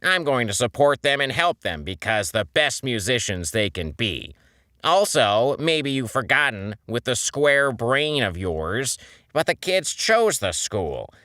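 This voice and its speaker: A man's voice: medium pitch, very animated, unhurried, sharp tone, clean tone, no echo, clean studio sound, pitched above the performer's own voice. A man voicing an irritable, exasperated adult male squid who scolds with indignation in a storybook reading.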